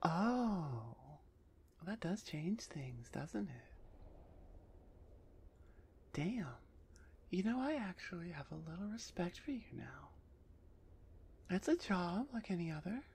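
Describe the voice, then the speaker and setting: A woman voicing a gruff female minotaur executioner in an ASMR roleplay, husky and often half-whispered, impatient and sardonic with her captive. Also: lowish voice, very animated, slow pace, long pauses, a little mellow, a little hiss or hum, full character voice. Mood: grudging respect.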